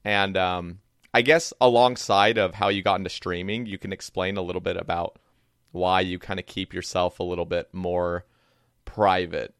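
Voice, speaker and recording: Low-voiced male podcast host. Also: level tone, normal speed, fairly bright tone, clear, resonant tone, no echo, clean studio sound. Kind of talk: casual interview chat.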